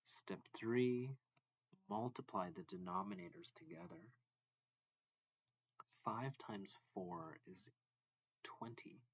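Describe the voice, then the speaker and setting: A man reading a math lesson aloud as a teacher, deliberately and step by step, phrase by phrase. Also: mid-range voice, sing-song, creaky, slightly rough, dry recording, dull audio. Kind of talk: slow read-along lesson narration.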